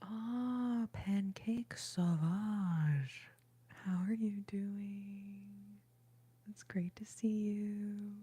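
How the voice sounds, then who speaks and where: Softly whispering woman reading her chat. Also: medium pitch, fairly even intonation, drawn-out words. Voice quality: soft breathy whisper. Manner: gushing, affectionate, calls viewers babes and y'all. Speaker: livestream host reading chat. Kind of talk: whispered ASMR chat with viewers.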